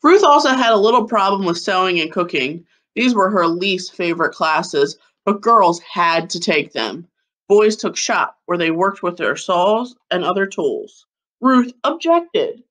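A woman in her thirties reading a children's picture book aloud like a schoolteacher, with deliberate phrasing. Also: fairly low pitch, lively intonation, fairly bright tone. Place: living room at home, seated on a couch. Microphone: webcam or laptop mic at about arm's length.

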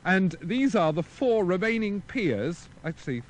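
A man's voice: sits high, sing-song, unhurried. A man presenting on location outdoors in a broadcast style. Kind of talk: outdoor piece to microphone, repeated takes. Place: outdoors in rain under umbrella.

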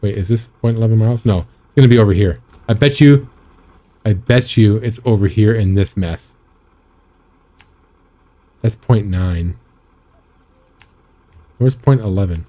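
A man in his thirties chatting casually while live-streaming a driving game, rambling with a slightly raspy, scratchy throat. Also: lowish voice, measured pace, pauses often, warm tone. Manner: rambling, chatty, reads and answers chat.

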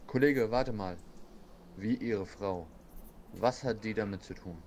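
An actor playing a brisk police officer who takes charge in an audio drama. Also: male voice, lowish voice, slight background noise, lightly acted. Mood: firm. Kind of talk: amateur German radio play acting. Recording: loud outdoor ambience, birds.

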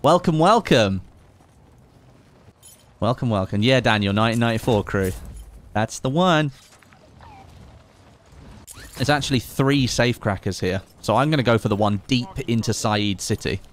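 A man's voice: medium pitch, unhurried, long pauses, slight background noise. A man, chatting casually on a live game stream, reading chat aloud and making sarcastic asides. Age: late 20s.

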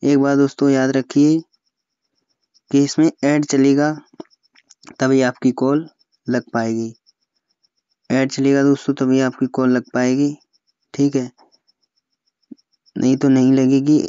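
A young Indian man giving a step-by-step phone app tutorial as a voice-over, halting when he reads English aloud. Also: medium pitch, little pitch movement, dark tone, clean tone, no room sound. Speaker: tutorial host. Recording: slightly dull, lo-fi, dry close recording.

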